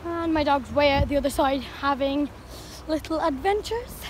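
A young girl chats to her phone camera at arm's length, high-pitched and eager, apologising as she goes. Wind and surf hiss loudly behind her on the phone mic, outdoors on a sunny beach. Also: normal speed. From Ireland. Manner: chatty, apologetic, excitable. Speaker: vlogger. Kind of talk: casual vlog to camera.

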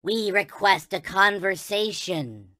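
A man's voice: sits high, takes it slowly, sharp tone, no room sound, studio quality, exaggerated voice. A man voicing a tiny, childlike alien toy in a video game, speaking with reverent wonder in formal, chant-like phrases. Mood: solemn wonder. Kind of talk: video game character voice clips.

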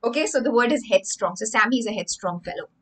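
A young woman hosts a livestream story lesson for children. Her voice sits fairly high and sounds very bright and crisp-edged. She speaks with theatrical emphasis and stops to spell out the meaning of words. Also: expressive, quick pace. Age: young adult. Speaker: children's English teacher and storyteller. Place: indoor room with bookshelves.

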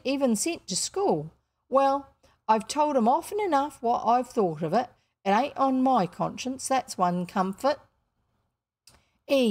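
Woman putting on a blunt scolding housekeeper, pitched up. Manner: dropped letters, rustic dialect, forceful scolding. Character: blunt, scolding working-class housekeeper woman, self-righteous, unrefined speech.

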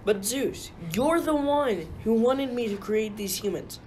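A woman's voice: on the high side, measured pace, a little mellow, lightly acted. A woman playing a defiant, long-suffering male titan in a radio play, protesting in a plain-spoken way. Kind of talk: amateur radio play acting. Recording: noisy amateur recording.

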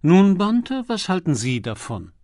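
A man voicing an urbane, teasing aristocratic gentleman detective in an audiobook crime story. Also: sits high, big pitch swings, moderate pace, no room sound, studio quality, pitched above the performer's own voice. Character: witty aristocratic gentleman sleuth, keen and teasing.

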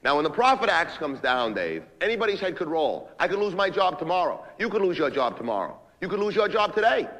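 Actor as a volatile, animated office boss. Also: male voice, fairly high pitch, brisk, few pauses, rough recording. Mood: exasperated ranting. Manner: repetitive, badgering, swinging between ranting and smooth-talking. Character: volatile, manipulative, foul-mouthed male office boss on phone calls.

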